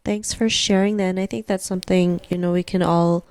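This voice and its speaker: A woman's voice: fairly low pitch, steady tone, fairly quick, darkish tone, dry recording, studio quality. A young woman hosting an interview podcast, upbeat and formulaic in her questions. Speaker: podcast host.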